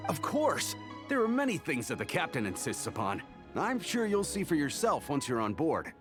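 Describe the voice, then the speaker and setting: A low-voiced, dutiful sailor. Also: female voice, huge pitch swings. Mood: matter-of-fact. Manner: brisk and matter-of-fact. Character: dutiful female sailor messenger. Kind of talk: video game voice acting. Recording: game audio with clear music behind.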